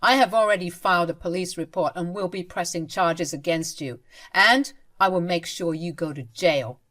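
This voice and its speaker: A woman voicing a furious husband confronting his mother, speaking sternly and forcefully. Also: lowish voice, moderate pace, close to an everyday voice. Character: furious protective adult son and father.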